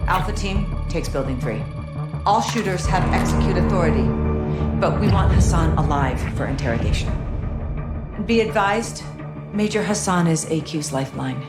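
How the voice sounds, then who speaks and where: A calm, precise intelligence officer. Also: female voice, fairly low pitch, roomy sound. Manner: calm, measured briefing delivery.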